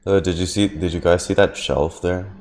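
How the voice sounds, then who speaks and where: A man's voice: low-pitched, steady tone, normal speed, a little mellow. A man streaming a video game, giving casual, slangy, wry reactions off the cuff.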